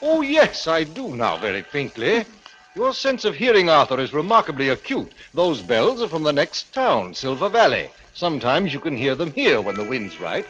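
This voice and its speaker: An actor playing a firm but concerned man of business in a 1940s radio drama, speaking in a brisk, reasoning manner. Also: fairly high pitch, huge pitch swings, a bit bright, soft background music. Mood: puzzled, concerned. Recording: muffled, noisy old 1940s radio broadcast.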